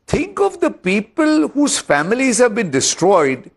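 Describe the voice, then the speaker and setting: An Indian-accented man argues on a heated news panel. His pitch is extremely high and swings widely, giving an insistent, impassioned delivery full of pointed questioning. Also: wildly swooping pitch, unhurried. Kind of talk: heated TV debate.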